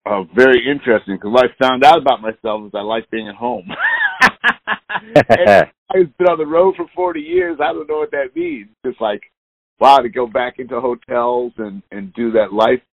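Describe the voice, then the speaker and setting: A sixty-year-old musician with a gravelly, creaky voice speaks over a dull, lo-fi line. His talk is loose and candid, and it wanders as he goes. The sound is dry, with no room around it. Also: mid-range voice, expressive, moderate pace, dry recording. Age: 60s. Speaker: musician guest. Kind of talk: casual interview chat.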